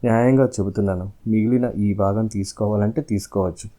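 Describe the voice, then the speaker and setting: A man plays a bullying lion, king of the forest, in a storybook fable. The voice is very dark and fairly low, with grave, self-important declarations. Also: steady tone, fairly quick, natural voice. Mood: boastful.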